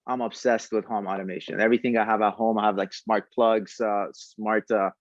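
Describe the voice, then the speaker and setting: A man giving conversational answers as a podcast guest, speaking in a halting way with frequent pauses. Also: on the low side, flat delivery, no room sound, dull audio. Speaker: podcast guest, tech entrepreneur.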